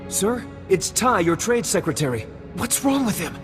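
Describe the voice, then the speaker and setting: A man's voice: sits high, big pitch swings, normal speed. An actor playing an idealistic male politician in a video game, formal and oratorical, earnest and dignified. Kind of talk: video game dialogue acting. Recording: long hall reverb, music behind.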